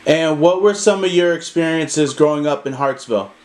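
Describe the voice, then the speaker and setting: A male interviewer asks his guest questions politely and at an even pace. His voice sits slightly high, and faint background noise runs under it. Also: moderate pace. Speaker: interview host. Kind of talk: hosting an interview.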